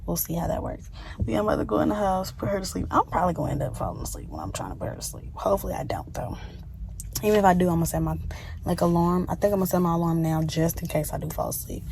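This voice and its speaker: A young woman with a slightly hoarse throat, chatting casually to her viewers on a phone while going about her day. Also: fairly low pitch, lively intonation, very fast. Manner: chatty, addresses viewers as y'all, talks to her baby between remarks. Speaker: lifestyle vlogger. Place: in a car and at home. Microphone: phone camera. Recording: noisy phone recording with road noise.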